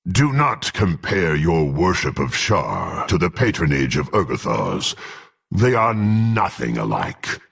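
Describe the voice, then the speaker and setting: Deep gravelly half-orc warrior. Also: male voice, wildly swooping pitch, fairly bright tone, full character voice. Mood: proud, stern. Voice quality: gruff, gravelly. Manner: scornful, deliberate, haughty. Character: brutish, menacing, proud half-orc warrior man. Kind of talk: video game voice acting.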